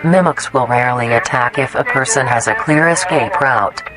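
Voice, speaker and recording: A man's voice: mid-range voice, moderate pace. A man reading a scripted public safety announcement in a formal, instructional manner. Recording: loud music over the voice. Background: loud music.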